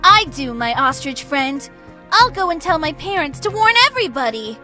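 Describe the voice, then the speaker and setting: A woman's voice: high voice, very animated, normal speed, sharp tone, soft background music, exaggerated voice. A woman voicing a small young male bunny, eager, curious and loyal, in a children's cartoon story.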